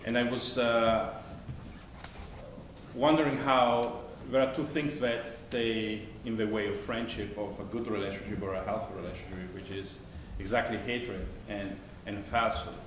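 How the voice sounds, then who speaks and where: A middle-aged man with an Italian accent speaks from a theater stage in a rather low voice. He talks thoughtfully, often restarting and circling back to his point. The sound rings around the room, with a faint hiss behind it. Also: steady tone. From Italy. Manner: thoughtful, halting, many restarts, gesturing. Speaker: panelist, conflict negotiator. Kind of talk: onstage discussion. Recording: echoey stage with faint noise.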